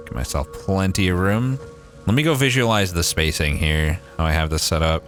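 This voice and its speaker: A man's voice: sits low, normal speed, a bit bright, faint music behind. A young Canadian man with a Maritime accent, thinking aloud and swearing casually as he streams a game. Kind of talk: casual gameplay livestream chat.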